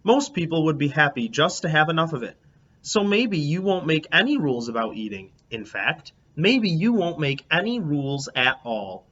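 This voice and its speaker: A man's voice: fairly high pitch, sing-song, moderate pace, fairly bright tone. A man voicing an easygoing, playfully questioning father in a children's storybook. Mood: gently teasing. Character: easygoing, teasing, puzzled father of young girl. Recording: dull lo-fi, background noise.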